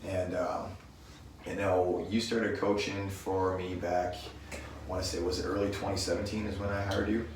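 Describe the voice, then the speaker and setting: A man hosting a casual chat, speaking in a halting, reflective way. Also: low voice, level tone. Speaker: gym owner, podcast host. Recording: echoey room, distant mic, faint noise.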